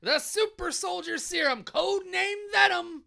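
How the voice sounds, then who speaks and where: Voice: very high voice, unhurried, creaky, bright, forceful tone, full character voice. An actor playing a gleeful mad male scientist in an audio drama, speaking in a theatrical, gloating, declamatory way. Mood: smug showmanship. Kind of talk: hobby audio drama villain acting.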